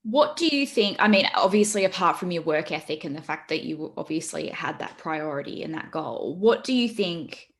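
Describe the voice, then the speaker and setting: A woman hosting a casual interview podcast, asking encouraging, quick follow-up questions. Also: low-pitched, lively intonation, a bit bright. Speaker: podcast host.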